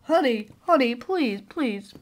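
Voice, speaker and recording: A woman's voice: high voice, takes it slowly. A woman voicing an exasperated husband in a comedy skit, pleading and soothing with his wife.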